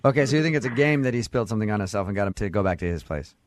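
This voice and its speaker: A man's voice: fairly low pitch, expressive, rapid-fire, dry recording. Casual male radio host, close dry sound. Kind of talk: morning radio banter and prank-style phone call.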